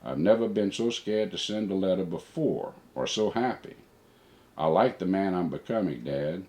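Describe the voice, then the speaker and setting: Very deep-voiced older man. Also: lively intonation, moderate pace, warm tone. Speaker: father reading letters and reflecting. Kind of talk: reading a letter aloud and personal reflection.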